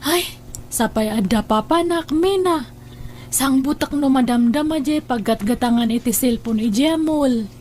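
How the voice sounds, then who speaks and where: A woman plays a young working daughter in an Ilocano audio drama, with a very high voice. She has an Ilocano Filipino accent and an offhand, self-indulgent manner. Her talk is dotted with drawn-out hums and casual brush-offs. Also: darkish tone, a little hiss or hum. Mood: breezy, dismissive.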